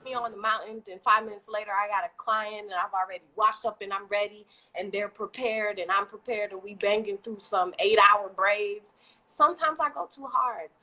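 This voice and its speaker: A woman with a Haitian accent, a guest entrepreneur talking casually and at length on a live video stream, animated and quick to laugh. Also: medium pitch. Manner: talkative, animated, laughs often. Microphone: video call.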